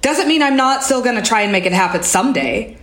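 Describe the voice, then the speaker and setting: A woman's voice: fairly high pitch, expressive, a little fast, a bit bright. A woman playing an idealistic, fired-up queer teenage activist with an American accent, speaking emphatically and casually in an audio drama. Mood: earnest, reassuring. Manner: emphatic, earnest, casual swearing. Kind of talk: naturalistic audio drama dialogue. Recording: roomy, echoey space.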